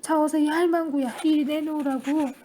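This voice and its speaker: A woman's voice: sits high, fairly even intonation, normal speed, a little hiss or hum, voice pushed up, natural voice. A woman voicing a cranky, demanding old grandmother who snaps and bosses others around in an audiobook story. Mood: snappish. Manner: sharp, bossy, snapping.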